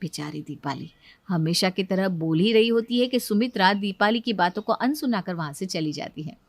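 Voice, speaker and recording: A woman storyteller giving a scripted, expressive narration of a moral tale. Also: medium pitch, moderate pace, no room sound.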